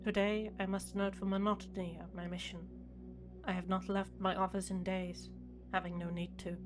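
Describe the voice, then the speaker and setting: A woman playing a dutiful, formal starship prefect with a measured, official manner in a sci-fi audio drama. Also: medium pitch, little pitch movement, music in the background, natural voice.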